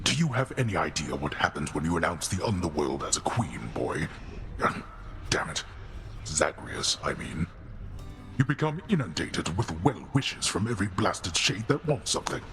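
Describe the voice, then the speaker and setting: An actor playing a gruff, stern old god-king of the underworld in a video game, speaking in a grave, imperious and grudging way. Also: low-pitched, sing-song, moderate pace, a bit bright, soft background music, exaggerated voice. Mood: exasperated.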